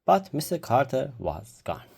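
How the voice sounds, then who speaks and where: A storyteller reading a children's storybook aloud with deliberate, expressive phrasing. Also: medium pitch, vocal fry, a little hiss or hum.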